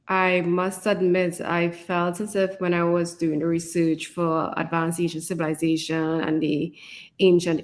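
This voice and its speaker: Woman author chatting on a podcast, voice a bit low, thinking things through, says you know a lot. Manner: reflective, often adds "you know". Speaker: fantasy author and podcast co-host. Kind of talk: conversational author discussion.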